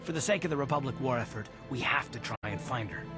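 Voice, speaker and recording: A man's voice: medium pitch, quick pace, loud music behind the voice, close to an everyday voice. An actor playing a resolute, weary male Republic soldier, speaking earnestly in a dramatic video game style, explaining with some urgency. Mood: earnest, determined.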